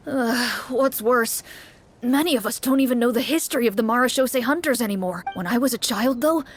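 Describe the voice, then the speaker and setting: Earnest, high-voiced worried townsperson. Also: female voice, fairly quick, slightly rough. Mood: earnest, hopeful. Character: earnest, worried young townsperson pleading for help. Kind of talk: video game voice acting.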